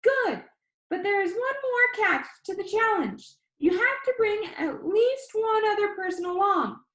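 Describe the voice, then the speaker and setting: A woman voicing an energetic, upbeat, slightly weird young male video host in an audiobook, with bubbly, emphatic, encouraging delivery. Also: very high-pitched, unhurried, roomy sound, voice pushed up, heavily acted, rough recording. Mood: playful, encouraging. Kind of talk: theatrical audiobook character voice.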